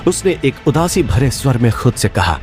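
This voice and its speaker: A man's voice: mid-range voice, big pitch swings, normal speed, darkish tone. A man narrating a fantasy audio drama in a dramatic, scripted storytelling style. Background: background music.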